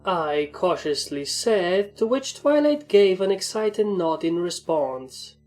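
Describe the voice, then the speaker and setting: A woman narrates a scripted story at a very slow pace. Her voice is a little low for a woman. Music plays softly behind her. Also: lively intonation.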